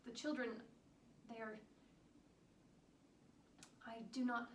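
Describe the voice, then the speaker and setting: A woman plays a devoted, proud country wife in a period stage monologue. The voice is fairly high, with earnest, formal, old-fashioned diction. It is picked up from a distance in a reverberant room, with a faint layer of noise behind it. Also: little pitch movement, slow pace. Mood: worried, bitter. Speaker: stage actress performing monologues. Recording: echoey room, distant mic, background noise.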